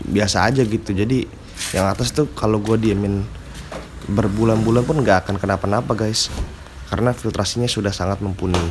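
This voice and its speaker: Male vlogger, slightly low voice, stuffy nose from a cold, relaxed how-to delivery with faint noise behind. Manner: casual slangy talk, frequent fillers. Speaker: aquarium hobby vlogger. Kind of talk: casual tutorial chat.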